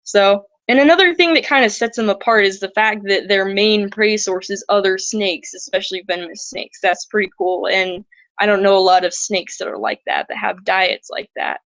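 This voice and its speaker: Young woman with a raspy, shaky voice. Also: medium pitch, moderate pace, fairly bright tone, no room sound. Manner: chatty, rambling with many fillers and restarts. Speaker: livestream host. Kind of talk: casual educational livestream talk.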